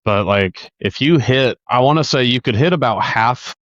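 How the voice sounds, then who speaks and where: A man's voice: mid-range voice, lively intonation, normal speed, fairly bright tone, no echo, lo-fi sound. A man co-hosting a casual sports podcast, talking in a rambling, conversational way. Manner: conversational, rambling, uses "like" often. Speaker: podcast co-host.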